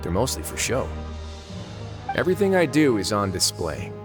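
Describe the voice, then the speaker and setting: Composed low-voiced duke in a game. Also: male voice, big pitch swings, in a large hall, music in the background. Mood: composed, serious. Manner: measured, articulate, dryly wry. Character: calm, shrewd prison duke, wry and composed. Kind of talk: scripted video game dialogue.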